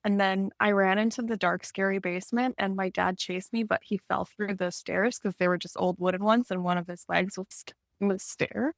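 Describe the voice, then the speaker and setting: A woman's voice: medium pitch, fairly even intonation, moderate pace, vocal fry, few pauses, dry recording, studio quality. Candid, rambling woman in casual conversation. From Canada. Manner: informal, rambling, self-reflective, with frequent 'like'. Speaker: client in an energy healing session.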